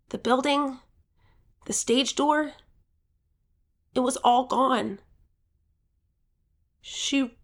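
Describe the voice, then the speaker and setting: A woman voicing an earnest, expressive 13-year-old game-show contestant who narrates their own story in an audio drama. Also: high-pitched, heavily acted. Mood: grieving.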